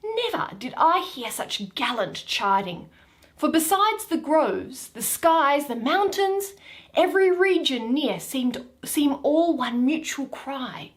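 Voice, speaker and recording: A woman's voice: high-pitched, very animated, slow pace, heavily acted. A woman voicing a regal, nostalgic warrior bride, savoring lyrical Shakespearean verse. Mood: rapt nostalgia.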